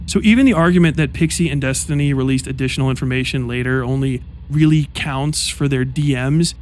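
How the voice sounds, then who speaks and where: A man giving scripted commentary narration, walking through a timeline in a measured, explaining manner. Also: medium pitch, slight background noise.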